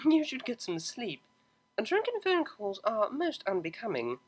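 A woman doing a prim, high-voiced pony cellist. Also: wildly swooping pitch, a little slow, slightly rough, full character voice. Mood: wry. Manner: formal, precise wording. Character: prim, proper young mare cellist, worried.

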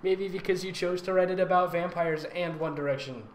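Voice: medium pitch, fairly quick. A theatrical, sarcastic and giggly host reading fan fiction aloud with mocking comedic commentary. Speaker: fanfic reader and voice actor hosting a reading. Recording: roomy echo, faint background noise.

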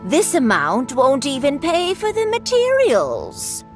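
A shrewd but kindly old granny selling toys in a video game. She takes her time, with a lilting, lively melody over faint music. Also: high voice, heavily acted. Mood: businesslike. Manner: slow, lilting, theatrical delivery. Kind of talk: video game character acting.

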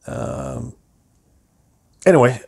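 A middle-aged man with a hoarse voice, rambling and swearing through a casual rant about his music to the camera. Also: mid-range voice. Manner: rambling, profane, self-interrupting. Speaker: metal musician and vlogger.

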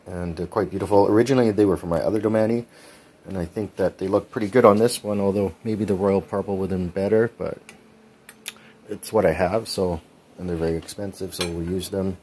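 A man's voice: deep voice, darkish tone, breathy edge. A man in his forties casually narrating his bike build over clips, chatty with frequent ums. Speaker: cycling vlogger.

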